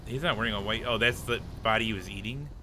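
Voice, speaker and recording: A man's voice: on the low side, quick pace, bright, forceful tone, a little hiss or hum. A man streaming a police roleplay game, chatting casually in a joking, amused manner.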